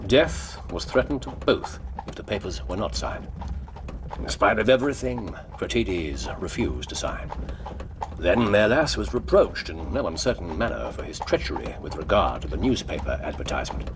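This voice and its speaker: An actor in a radio drama playing a gentlemanly English investigator, articulate and commanding. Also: male voice, deep voice, sing-song, normal speed. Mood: grave, narrating. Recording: noisy ambience behind radio drama.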